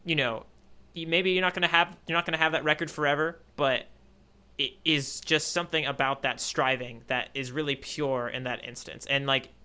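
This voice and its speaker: American man, bright clear voice, casual podcast co-host, reflective, halting phrasing. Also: fairly high pitch, steady tone. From United States. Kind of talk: casual chat.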